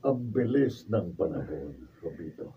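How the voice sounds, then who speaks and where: Low-voiced gentle older father. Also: big pitch swings, measured pace, gravelly, exaggerated voice. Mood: musing, concerned. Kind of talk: Filipino radio drama acting. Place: radio drama recording studio, behind-the-scenes video. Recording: dull, distant mic, faint noise.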